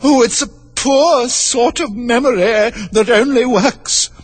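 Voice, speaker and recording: A man voicing a flustered, scatterbrained old queen in a storybook reading, high and quavering, stammering and drawn out. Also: full character voice. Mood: dithering. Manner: drawn-out dithering, stammering, bursting into squeals.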